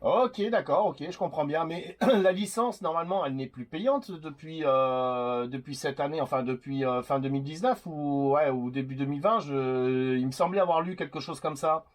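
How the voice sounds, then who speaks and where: Guy chatting on cb radio, voice a bit high, kinda hesitant and rambly. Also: moderate pace, hardly any pauses. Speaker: CB radio operator making videos. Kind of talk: casual radio chat.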